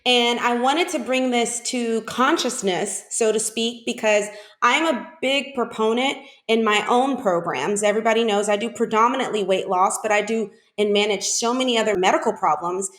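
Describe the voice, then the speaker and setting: A woman's voice: fairly high pitch, normal speed, hardly any pauses, fairly bright tone. A woman hosting a conversational podcast interview, speaking informally and rephrasing as she goes. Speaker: podcast host, doctor. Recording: roomy, echoey sound.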